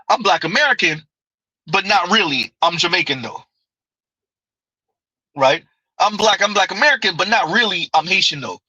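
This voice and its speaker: A man talks through viewer comments on his live stream in a loose, chatty way. His voice is sharp and forward, sitting a little high for a man. The sound is close and dry, with almost no room around it. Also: breathy edge, no room sound, low-quality recording. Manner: conversational, repeats "right?", laughs often.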